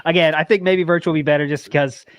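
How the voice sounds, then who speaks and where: High-voiced man hosting, dry close sound. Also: fairly even intonation, no echo, lo-fi sound. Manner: fills speech with "like", quick to laugh. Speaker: podcast host. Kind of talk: casual chat.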